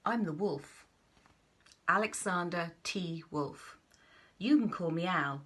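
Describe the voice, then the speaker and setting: A woman's voice: on the low side, animated, takes it slowly, slight background noise. A woman reading a children's storybook as a folksy, aggrieved male wolf, confiding with exaggerated emphasis and protesting his innocence. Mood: confiding, defensive. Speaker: storybook reader.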